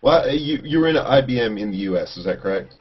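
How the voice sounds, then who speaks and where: A man's voice: medium pitch, lively intonation, fast, slightly rough, muffled recording. A man hosting a video call interview, asking casual questions with many fillers. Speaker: host.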